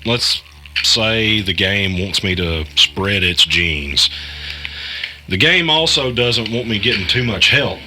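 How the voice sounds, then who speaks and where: Skeptical everyman, low and edgy , acted by a man. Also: animated, slow pace, pushed and bright, slight background noise, exaggerated voice. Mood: pressing, doubtful. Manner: doubtful, hesitant, curt questioning. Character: skeptical, rattled everyman player caught in conspiracy. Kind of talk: audio drama dialogue and first-person narration.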